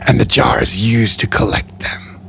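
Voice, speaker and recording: A man's voice: medium pitch, steady tone, moderate pace, vocal fry, fairly bright tone, slight background noise. A man voicing a sly, sarcastic male spirit trader who talks in a gleeful, mock-friendly way and sometimes drops to a whisper.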